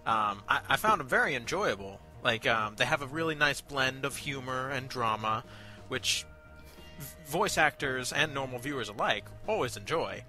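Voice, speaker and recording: A man's voice: medium pitch, lively intonation, normal speed, sharp tone, soft background music. A man co-hosting a casual review show, talking informally and with enthusiasm. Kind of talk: casual chat, reviewing amateur voice acting.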